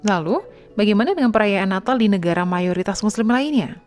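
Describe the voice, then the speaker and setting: This is a woman narrating a scripted explainer, with a medium voice that sounds neither high nor low. Her delivery is friendly and she turns to the listener with questions. A little music runs quietly underneath. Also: expressive, brisk. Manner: friendly, speaks directly to the viewer with questions.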